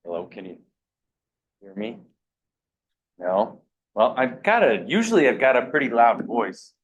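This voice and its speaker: A man's voice: mid-range voice, huge pitch swings, unhurried. A man from a state revenue department making hesitant, self-deprecating remarks at a meeting. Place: large meeting room. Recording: echoey room, distant mic, dull sound.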